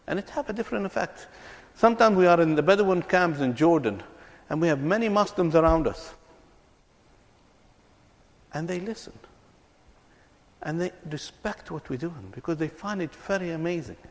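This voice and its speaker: A man's voice: a little high, animated, moderate pace. A man from Palestine, telling stories earnestly to an audience and often repeating his words. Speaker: ministry speaker on reconciliation. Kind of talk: speech to an audience. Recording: long hall reverb.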